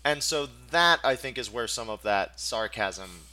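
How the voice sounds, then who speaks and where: A man's voice: mid-range voice, lively intonation, measured pace, sharp tone, slight background noise. A man hosting a podcast, talking casually, asking probing questions and explaining his points while checking that he is understood. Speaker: podcast host. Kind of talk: casual chat.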